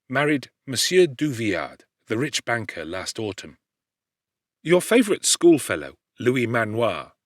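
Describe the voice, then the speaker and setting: A man reads a classic novel aloud, his pitch rising and falling freely as he shapes each sentence. His voice sits at an ordinary middle pitch, and the recording is dry and close, with no room sound around it. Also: dry recording. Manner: expressive, measured storytelling delivery. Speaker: audiobook narrator. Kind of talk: scripted literary narration.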